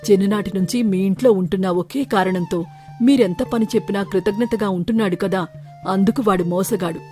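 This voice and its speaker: A woman's voice: mid-range voice, fairly quick, dark tone, soft background music, close to an everyday voice. Woman as a principled, outspoken village doctor. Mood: sarcastic reproach. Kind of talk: storybook character acting.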